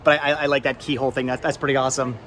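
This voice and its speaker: A man in his thirties hosting a casual vlog, chatting enthusiastically and rambling with halting, repeated words. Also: medium pitch, flat delivery, fairly bright tone, a little hiss or hum. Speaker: vlog host. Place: large indoor flea market and warehouse loading area. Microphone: handheld camera at arm's length.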